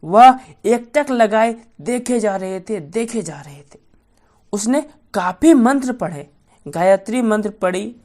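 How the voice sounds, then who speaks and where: A woman's voice: mid-range voice, animated, slow pace, darkish tone. A woman storyteller narrating a bedtime folk tale for children.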